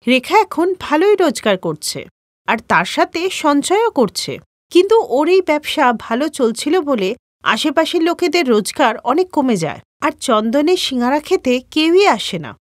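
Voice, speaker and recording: A woman's voice: high voice, sing-song, moderate pace, no echo, clean studio sound. A woman narrating a scripted children's story as the storyteller.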